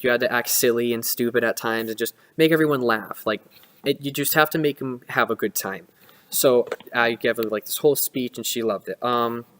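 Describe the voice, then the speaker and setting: A man's voice: medium pitch, fairly bright tone. A young adult man talking casually and conversationally, rambling through personal advice and often restarting his sentences. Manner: rambling, conversational, self-interrupting. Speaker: student vlogger. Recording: reverberant room with long echo.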